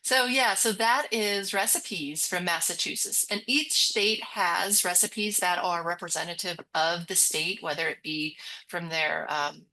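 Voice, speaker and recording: A woman's voice: on the low side, pushed and bright, rough recording. A woman co-hosting a library webinar, walking through a website in a chatty, upbeat way with frequent giggles. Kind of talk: casual screen-share demo chat.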